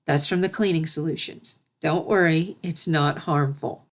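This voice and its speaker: Lady playing a motherly pony princess in a storybook, regal but gentle and measured. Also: low voice, steady tone. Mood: reassuring. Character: caring adult alicorn princess, regal and motherly mentor.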